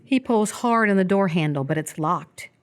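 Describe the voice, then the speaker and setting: A woman narrates a radio play with a somewhat low voice. She reads the stage directions in a measured, descriptive way, setting out each action in turn. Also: animated.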